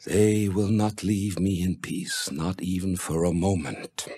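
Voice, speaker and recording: A man's voice: sits low, slow pace, warm tone, breathy edge, dry recording, voice pushed up, full character voice. A man reading a story, voicing an ailing, tormented old rabbi with dramatic intensity. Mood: defiant, anguished. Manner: dramatic, crying out or whispering.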